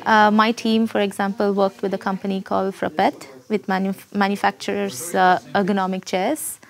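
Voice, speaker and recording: A woman's voice: medium pitch, level tone, normal speed, vocal fry. A woman in her twenties from India with an Indian accent, speaking unscripted as a design student in an interview. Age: 20s. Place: busy classroom studio, indoors. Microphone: lapel mic. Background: soft background music.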